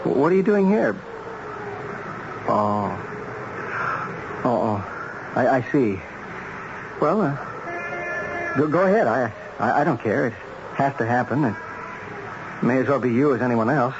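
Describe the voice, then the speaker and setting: An actor playing a guilt-ridden, desperate hitman who drinks, speaking in halting, repeated words in a radio drama. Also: mid-range voice, big pitch swings. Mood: resigned, pleading. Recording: dull, noisy old radio broadcast recording.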